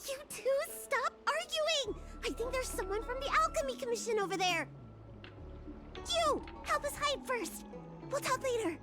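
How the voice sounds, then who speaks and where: High-pitched, feisty little dragon girl. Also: animated, unhurried, music in the background. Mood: urgent. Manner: childlike, indignant, emphatic. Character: young dragon girl healer, feisty, excitable, stubborn child. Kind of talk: anime game voice acting.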